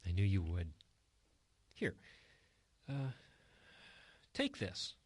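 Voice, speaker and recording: A man's voice: low-pitched, huge pitch swings, very slow, husky, dry recording, exaggerated voice. Kindly, earnest male bartender, dry sound, acted. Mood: earnest, encouraging. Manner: gentle, earnest. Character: kindly, encouraging bartender and good listener. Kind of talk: radio drama acting.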